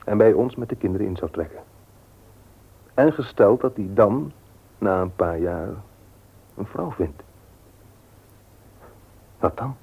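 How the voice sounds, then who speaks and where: An actor playing a mild, loving but cautious husband in a radio play, speaking in a measured, questioning way. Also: male voice, on the low side, expressive, vocal fry, breathy edge, exaggerated voice. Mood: cautious, doubtful. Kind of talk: Dutch radio play acting. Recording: muffled old radio play recording.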